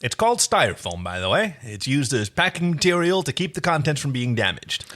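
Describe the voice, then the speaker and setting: Man, podcast host, very lively pitch movement, dramatized story reading, casual asides. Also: brisk. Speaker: podcast host reading fan fiction aloud.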